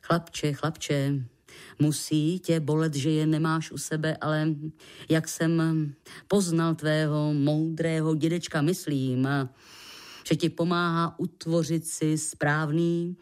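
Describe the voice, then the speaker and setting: A woman voicing a pompous male creature ambassador in a storybook, slow and hesitant, with hemming pauses. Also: low-pitched, level tone, darkish tone, clear, resonant tone, pitched below the performer's own voice, close to an everyday voice. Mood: sympathetic.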